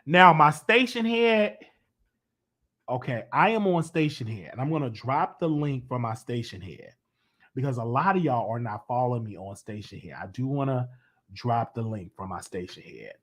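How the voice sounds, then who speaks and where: A man hosting a casual live call-in show, chatty and animated, his voice worn from talking. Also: husky. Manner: animated, pitch jumps high when excited. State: losing his voice. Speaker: host.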